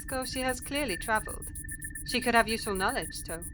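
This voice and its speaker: A woman's voice: fairly high pitch, brisk, over music, lightly acted, poor audio. A woman playing a loyal alien security officer in an audio drama, framing her sentences with clipped, odd tic words. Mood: dutiful, concerned. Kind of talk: science fiction audio drama acting. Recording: noticeable background noise.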